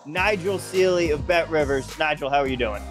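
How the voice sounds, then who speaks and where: A man's voice: fairly high pitch, moderate pace, faint music behind. An American man hosting a betting podcast, upbeat and a bit stumbling. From United States. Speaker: podcast host. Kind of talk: podcast interview.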